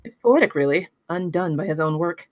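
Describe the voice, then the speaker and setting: A woman's voice: on the low side, animated, fairly quick, darkish tone, dry recording, close to an everyday voice. Woman as cool, precise pilot, dry sound. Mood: calm, focused. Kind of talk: screenplay table read.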